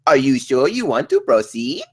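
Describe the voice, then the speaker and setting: A woman playing a cheeky, deadpan ship's computer with a playful, officious manner in a comedy table read. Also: extremely low pitch, normal speed, slightly rough, heavily acted. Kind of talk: acted table read of a comedy film script.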